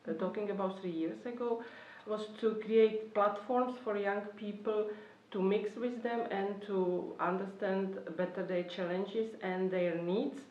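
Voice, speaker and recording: Woman, Czech accent, medium pitch, careful halting delivery, explanatory official talk, echoey room. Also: fairly even intonation, a little mellow. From Czech Republic. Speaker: government youth policy official. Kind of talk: explanatory talk for an online course. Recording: roomy, distant, slightly dull microphone.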